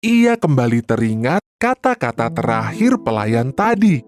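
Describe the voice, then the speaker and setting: Expressive woman narrating a storybook. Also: rumbling low, a little slow.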